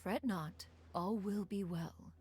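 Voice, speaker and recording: A woman's voice: medium pitch, takes it slowly, music in the background, lightly acted. A woman voicing a proud, refined immortal with formal, lofty diction in a video game. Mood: assured.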